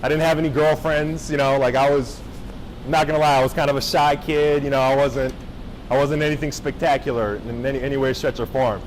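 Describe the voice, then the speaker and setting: A young man from Japan giving a casual motivational talk to high school students, often checking in with the crowd. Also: fairly even intonation, brisk, slight background noise, rough recording. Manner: casual, engaging, checks in with the audience. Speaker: motivational speaker. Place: high school gathering space.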